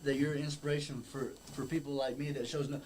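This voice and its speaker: Brief: early-thirties male trainee, quick, eager, stumbling repeats. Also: medium pitch, flat delivery, a little mellow, slight background noise, poor audio. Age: 30s. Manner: eager, halting, repeats words. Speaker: wrestling trainee.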